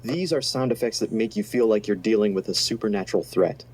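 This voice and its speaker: A man's voice: on the low side, fast, very noisy. A man reading a scripted, analytical video essay narration.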